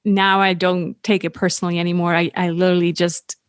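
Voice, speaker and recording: A woman's voice: fairly low pitch, little pitch movement, quick pace, clean tone, no room sound, clean studio sound. A woman hosting a podcast interview, thinking aloud in a candid, reflective way. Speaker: podcast host. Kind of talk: conversational interview.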